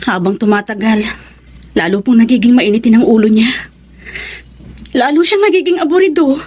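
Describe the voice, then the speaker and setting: A woman's voice: a little high, normal speed, a little mellow, breathy edge. An actress playing a patient, long-suffering young mother in a Filipino radio drama, respectful and plaintive. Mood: worried, respectful.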